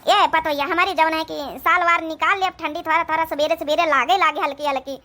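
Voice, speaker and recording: A woman's voice: very high voice, fairly even intonation, a little fast, sharp tone. A woman voicing an elderly, fond and fussy village mother-in-law, rambling in a homely way in an Awadhi-accented comic cartoon. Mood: homely instructing.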